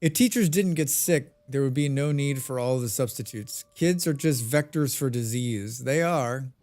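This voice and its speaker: A man's voice: medium pitch, moderate pace, darkish tone. An American man chatting casually with his viewers while playing a game on a live stream, reading and answering their comments. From United States.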